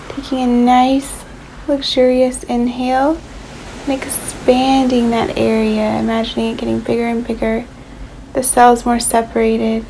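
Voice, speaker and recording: A woman leading a guided relaxation meditation in a soothing, unhurried manner, leaving long pauses between short phrases. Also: a little high, mellow, slight background noise, rough recording.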